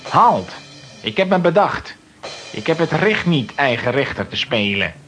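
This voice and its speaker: A man's voice: fairly high pitch, big pitch swings, a little slow, a bit bright, soft background music. An actor playing a solemn vagrant nobleman in a children's radio play, grave and declamatory. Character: solemn, vengeful then repentant vagrant nobleman.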